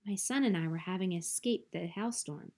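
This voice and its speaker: A woman voicing a gentle, polite female robot mother who speaks in a measured, formal way. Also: lowish voice.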